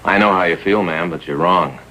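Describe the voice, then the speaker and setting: A man's voice: low-pitched, brisk, bright, forceful tone, poor audio. A man playing an earnest, firm but sympathetic American police sergeant in a 1950s TV drama, with restrained delivery.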